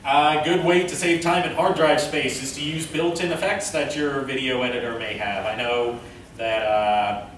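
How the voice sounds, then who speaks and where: A man gives a casual how-to panel talk, explaining things step by step. He is heard from a distance in a very reverberant room, with faint noise behind him. His voice sits at an ordinary middle pitch. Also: steady tone, normal speed, a bit bright. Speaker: panel presenter. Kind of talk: instructional convention panel talk. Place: indoor convention panel room, recorded from a distance.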